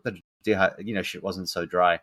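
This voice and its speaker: A man's voice: sits low, fairly even intonation, normal speed, fairly bright tone. A man with a blend of South African, New Zealand and Australian accents, chatting casually as a podcast host. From South Africa, later New Zealand and Australia. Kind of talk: casual interview chat.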